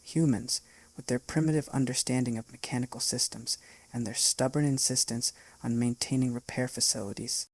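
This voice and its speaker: A man narrating a science fiction short story in a steady, scripted read. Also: mid-range voice, normal speed, dry recording.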